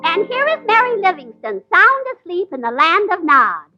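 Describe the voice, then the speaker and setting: A woman's voice: very high voice, very animated, normal speed, pushed and bright, soft background music, exaggerated voice. A woman playing a brassy, wisecracking female Blue Fairy who speaks in sing-song rhymes, in 1940s radio comedy. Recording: dull 1940s radio broadcast.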